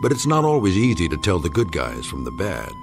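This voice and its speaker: A man narrating a scripted radio anthology in a measured, portentous manner. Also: sits low, wildly swooping pitch, over music.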